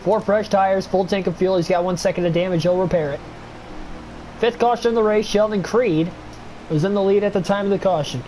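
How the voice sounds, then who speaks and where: Young male streamer, congested, voice worn; excitable play-by-play with casual asides, noisy home mic. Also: high-pitched, fairly quick. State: stuffy nose, voice getting worn. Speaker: streamer doing race commentary. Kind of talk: play-by-play sim racing commentary.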